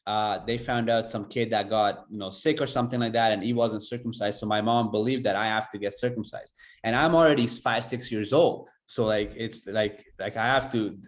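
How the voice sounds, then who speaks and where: A man's voice: on the low side, steady tone. Earnest man telling a personal story. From Afghanistan. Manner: earnest, halting, many fillers. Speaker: podcast host.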